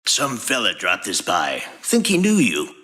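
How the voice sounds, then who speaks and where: A man's voice: fairly low pitch, wildly swooping pitch, unhurried, pushed and bright, slight background noise, full character voice. A man voicing a kindly old otter who runs a garage and works as a mechanic, in acted audio fiction. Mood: casual.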